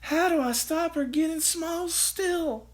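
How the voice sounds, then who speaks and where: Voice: very high-pitched, slow pace, voice pushed up. A storyteller voicing an anxious mother who wails and pleads in alarm. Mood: frantic. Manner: wailing, pleading. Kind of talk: storybook read-aloud.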